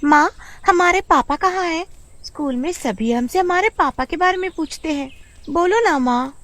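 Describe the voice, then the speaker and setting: A woman's voice: very high voice, animated, moderate pace, fairly bright tone, no room sound, voice pushed up. A woman voicing a curious, eager young village girl with a wide-eyed, girlish manner in a storybook tale. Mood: pleading. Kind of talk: storybook character voice.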